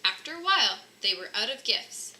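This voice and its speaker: A woman reading a children's storybook aloud in an animated narrating style. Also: fairly high pitch, a little fast. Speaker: storybook reader. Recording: faint background noise.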